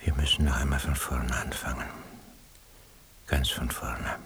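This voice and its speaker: An actor playing an obsessive, troubled surveillance listener who doubts himself, ruminating in a breathy, half-whispered radio drama monologue. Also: male voice, rumbling low, little pitch movement, unhurried, fairly bright tone, a little hiss or hum. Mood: grave, troubled. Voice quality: very husky, breathy.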